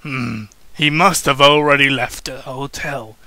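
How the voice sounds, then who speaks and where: A big, cocky wrestler as a man acts him out in a fan fiction reading. He is brusque and emphatic, with a sharp, forceful tone. The sound is close and dry. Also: medium pitch, steady tone, measured pace, dry recording, voice pushed down. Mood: puzzled.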